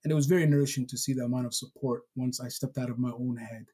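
A man's voice: mid-range voice, fairly even intonation, quick pace, mellow, slightly rough. A man born in Somalia, a political candidate, talking reflectively and a little hesitantly in a casual chat.

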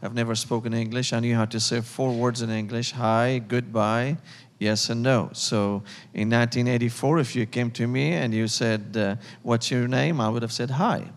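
A Syrian-born pastor tells his story with earnest, building emphasis. His voice sits at an ordinary middle pitch. The sound rings with long reverb from a church hall. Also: steady tone, moderate pace. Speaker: guest pastor. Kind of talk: sermon-style testimony. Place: church sanctuary.

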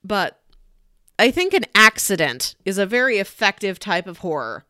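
A woman's voice: medium pitch, very animated, normal speed, pushed and bright, dry recording, clean studio sound. A woman casually discussing a movie as a podcast host, talking through her opinions.

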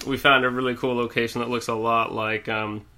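Male podcast guest, bright tone, rambling casual chatter, slight lingering cough. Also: mid-range voice, little pitch movement, a little fast. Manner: rambling, lots of fillers and restarts. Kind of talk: casual chat.

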